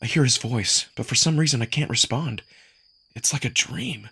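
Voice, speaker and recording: A man performing dramatic first-person story narration with expressive, acted-out delivery. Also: mid-range voice, normal speed, gravelly, husky. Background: music.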